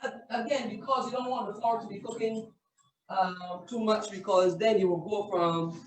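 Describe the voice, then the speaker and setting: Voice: mid-range voice, steady tone, normal speed. Cook demoing on a call, step-by-step talker, far from the mic, roomy echo, bit of faint noise. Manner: explains step by step with many "right?" checks. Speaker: chef cooking live. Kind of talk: cooking demonstration on a video call. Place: home kitchen. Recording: distant echoey room with faint noise.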